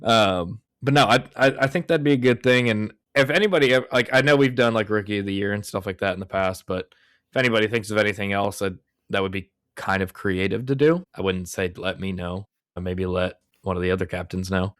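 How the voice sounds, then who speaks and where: A man's voice: lowish voice, fairly quick, a bit bright, clear, resonant tone, no room sound. Rambling young man in a dry room. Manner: rambling, lots of fillers. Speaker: podcast host. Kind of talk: casual chat.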